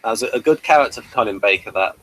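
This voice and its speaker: A man's voice: lowish voice, animated, racing, a bit bright, poor audio. A man talking casually as a co-reviewer in a conversation about a television episode.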